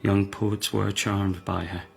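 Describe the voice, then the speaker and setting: An actor playing a worldly former emperor turned monk in a radio drama, sensual and quietly seductive, measured and savoring his words. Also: fairly low pitch, little pitch movement, vocal fry, a little hiss or hum, heavily acted. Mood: tender, seductive.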